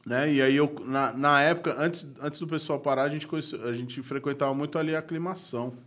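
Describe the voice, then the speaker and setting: A man's voice: a little high, steady tone, a little fast, fairly bright tone. A man, a guest telling stories in casual chat, slangy, laughing often and dropping to a mutter. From Brazil. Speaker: guest graffiti artist.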